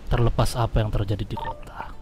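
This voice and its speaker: A man with a fairly low voice speaks in character for a roleplay game. He is formal and deferential, choosing his words with some hesitation. Music plays clearly behind him. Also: level tone, a little fast. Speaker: roleplay gamer playing a gang leader.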